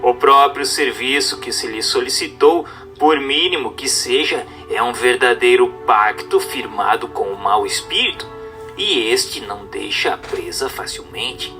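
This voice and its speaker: A man with a Brazilian accent reads a religious text aloud as a narrator, in a formal, measured, didactic manner over music. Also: medium pitch, animated, pushed and bright. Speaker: narrator reading a book aloud. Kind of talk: scripted audiobook-style narration of a religious text.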